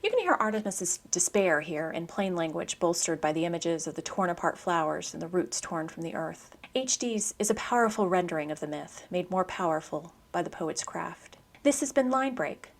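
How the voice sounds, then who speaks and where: A woman hosting a poetry program, speaking in a measured, articulate scripted style for radio narration and poem reading. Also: on the low side, animated.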